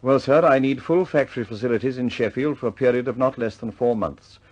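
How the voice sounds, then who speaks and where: An actor playing a stubborn, understated British engineer in a vintage radio drama, measured and politely insistent. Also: male voice, mid-range voice, a little mellow, close to an everyday voice.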